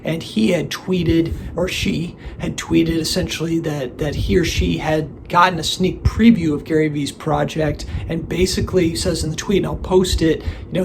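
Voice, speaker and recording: Guy with laryngitis vlogging, raspy gravelly voice, lots of ums and restarts. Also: on the high side, noisy background. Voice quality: gravelly, strained voice. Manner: rambling, hesitant, many fillers and restarts. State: hoarse from laryngitis. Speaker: collectibles channel host. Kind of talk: casual solo video commentary.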